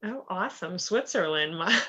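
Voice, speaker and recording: A woman's voice: fairly low pitch, lively intonation, moderate pace, bright, forceful tone. A woman hosting a casual podcast interview, upbeat and chatty, with plenty of fillers and "like". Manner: upbeat, lots of fillers and 'like'. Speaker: podcast host.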